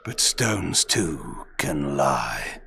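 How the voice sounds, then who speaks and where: A very slow, very deep male vampire lord, imperious and weary. He narrates a game story theatrically, with grand deliberation and music behind him. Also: big pitch swings, vocal fry, pushed and bright, heavily acted. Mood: sombre. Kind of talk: scripted first-person game narration.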